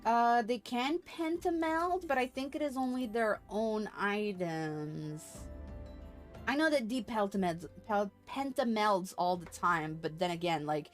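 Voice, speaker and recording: A woman's voice: medium pitch, animated, a little slow, faint music behind. A woman giving casual, playful live commentary as she streams a game, prone to giggling.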